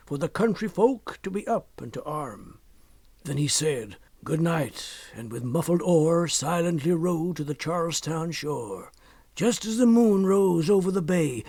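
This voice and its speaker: A man with a husky voice reciting a narrative poem theatrically, swelling and dropping toward hushed tones. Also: high-pitched, dry recording. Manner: theatrical, with swells and hushed drops to near whisper. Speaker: poetry reader.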